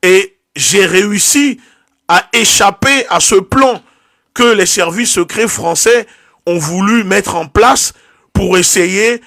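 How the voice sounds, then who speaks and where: A man's voice: high voice, slow, creaky, a bit bright, no echo, studio quality. A man from Togo, delivering an impassioned political monologue and stumbling over repeated words as he searches for them. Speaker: activist.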